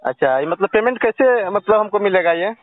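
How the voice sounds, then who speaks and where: A man's voice: sits high, expressive, fairly quick, dry recording. A young man making a casual phone call as a caller, hesitant and full of questions. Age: young adult. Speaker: caller selling an old coin. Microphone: telephone. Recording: tinny, dry phone call recording.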